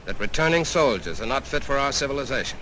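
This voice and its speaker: An old film soundtrack carries faint noise. On it an American man, an army chaplain, speaks in a bright, tense, mid-pitched voice. His 1940s oratory is indignant, earnest and rhetorical. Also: expressive, quick pace, low-quality recording.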